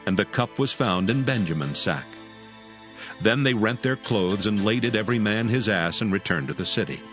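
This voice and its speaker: A man's voice: lowish voice, expressive, moderate pace, fairly bright tone, faint music behind. A man narrating scripture as a dramatized audio story, reading from a script.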